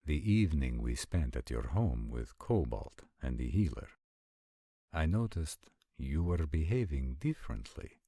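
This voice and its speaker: Guy doing a centuries old fantasy warrior, super deep n slow, formal and protective, close dry sound, roleplay audio. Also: big pitch swings, mellow, no echo, heavily acted. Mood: curious, puzzled. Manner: formal, measured, stilted diction with deliberate pauses. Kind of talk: scripted roleplay audio acting.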